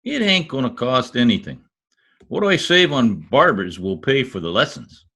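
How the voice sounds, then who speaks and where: Man as boastful radio sitcom husband, folksy slang, gravelly, hugely animated delivery. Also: mid-range voice, moderate pace, full character voice. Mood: boastful, breezy. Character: brash, boastful, folksy middle-American husband. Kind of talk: old-time radio comedy acting. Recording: video call recording.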